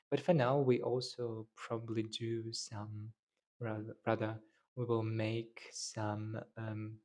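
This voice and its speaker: A man's voice: mid-range voice, a little mellow. A young man whose first language is Tatar, talking casually to camera as a language teacher, thinking aloud with fillers, into a handheld clip mic indoors. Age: young adult. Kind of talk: casual video talk. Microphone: handheld lapel mic held near mouth.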